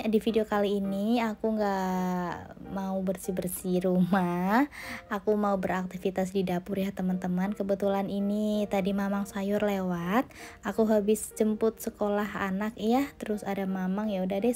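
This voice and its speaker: A woman in her thirties chatting casually to viewers in a cooking vlog voice-over, slightly hoarse and nasal from a sore throat. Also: mid-range voice, warm tone. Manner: chatty, friendly, addresses viewers as friends, many fillers. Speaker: homemaker vlogger. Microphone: phone recording.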